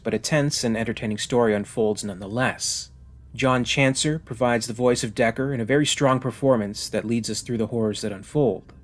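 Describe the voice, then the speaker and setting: A man narrates a scripted ranking review as its host. His voice sits at a medium pitch, and his delivery is measured and articulate, with formal phrasing.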